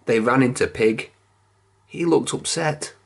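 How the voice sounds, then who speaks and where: A reader telling a children's picture book aloud in a measured, expressive storytelling manner. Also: medium pitch, gravelly, husky.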